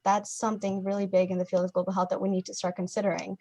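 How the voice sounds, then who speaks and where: A woman's voice: medium pitch, little pitch movement, rapid-fire, dark tone. Friendly young woman moderating. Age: young adult. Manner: friendly, affirming, some hesitations. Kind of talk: casual moderating.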